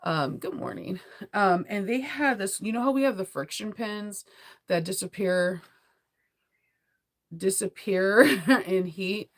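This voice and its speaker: A middle-aged American woman with a congested, cold-affected voice, chatting casually and ramblingly as a sewing vlogger on a livestream. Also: slow pace. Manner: rambling, giggly, conversational; sleepy, low energy.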